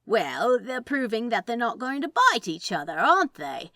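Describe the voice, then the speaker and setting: A woman voicing a laid-back male demon in a story reading, reasoning aloud slowly, casually and sceptically. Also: on the high side, very animated, pushed and bright, dry recording, clean studio sound, pitched above the performer's own voice, full character voice. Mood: confident.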